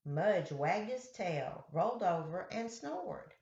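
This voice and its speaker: Lady doing storytime for little kids, really slow n deliberate, echoey dull recording. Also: on the low side, animated, gravelly, a little mellow. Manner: deliberate, simple phrasing addressed to children. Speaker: children's storyteller reading picture books aloud. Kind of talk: read-aloud storytime for young children. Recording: roomy, echoey, dull lo-fi sound.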